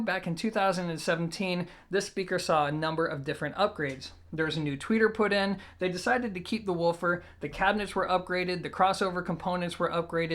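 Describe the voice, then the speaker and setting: A reviewer speaks in a mid-pitched voice. The delivery is conversational and explanatory, working through points in a methodical order. Informal asides to the audience keep the presentation casual. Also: fairly bright tone. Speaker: product reviewer, show host. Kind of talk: conversational audio gear review to camera.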